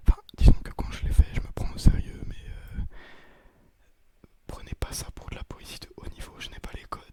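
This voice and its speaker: Whispering young Frenchman, hesitant ASMR chat. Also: dark tone. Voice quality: whispered, breathy. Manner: hesitant, rambling, self-deprecating. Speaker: ASMR video creator. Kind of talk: ASMR, casual chat to viewers. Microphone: close, sensitive microphone with occasional breath puffs and bumps.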